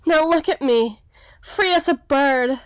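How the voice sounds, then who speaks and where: A woman's voice: high-pitched, takes it slowly, no room sound. A woman playing a young, melancholy, self-doubting storybook damsel in an ASMR fantasy roleplay, with theatrical, hesitant, old-fashioned formal phrasing. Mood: overjoyed gratitude.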